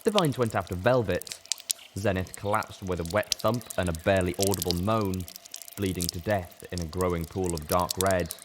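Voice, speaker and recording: A man's voice: low voice, fairly even intonation, moderate pace. A man reading a story aloud as a dramatic, intense audiobook narration. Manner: intense, theatrical. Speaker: reader of a fan fiction story. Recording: clearly noisy background.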